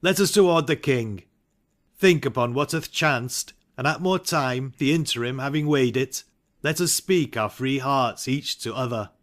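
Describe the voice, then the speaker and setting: An actor playing an ambitious, brooding warrior thane in a dramatic Shakespeare reading. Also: male voice, mid-range voice, unhurried, fairly bright tone, natural voice. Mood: courteous.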